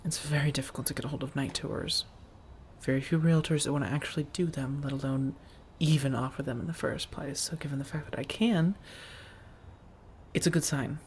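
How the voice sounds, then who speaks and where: A centuries-old female vampire played by a woman, her voice low and soft. She speaks in measured, formal phrases, gentle and affectionate but guarded, as in an intimate ASMR roleplay. There is noticeable noise in the recording. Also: natural voice. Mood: calm, businesslike. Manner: measured, formal wording with endearments, hesitant confessions.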